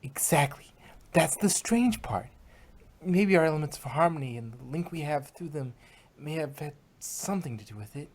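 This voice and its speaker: Woman as a husky, studious female unicorn pony. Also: low voice, expressive, normal speed. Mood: earnest, uneasy. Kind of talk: fan fiction audio drama reading.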